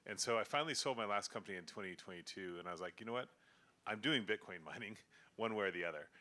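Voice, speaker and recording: A man's voice: on the low side, fast talker. A middle-aged man who grew up in Sudan and Kenya, telling stories as a guest in a casual live chat at a bar, talking loosely with many restarts and fillers. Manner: relaxed storytelling with frequent restarts and fillers. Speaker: guest, company founder. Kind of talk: casual pub panel chat. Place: live bar with audience, feedback on the PA.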